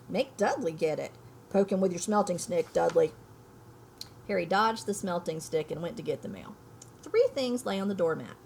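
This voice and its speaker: A woman's voice: on the high side, a little hiss or hum. A woman reading a children's book aloud expressively, in an explanatory manner with informal asides. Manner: explanatory, checks understanding with listeners.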